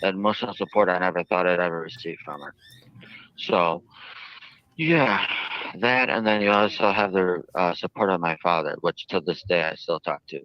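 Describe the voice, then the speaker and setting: A man giving interview answers in a halting way, with drawn-out phrasing. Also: sits low, dry recording, lo-fi sound. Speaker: jail inmate interviewed by a reporter.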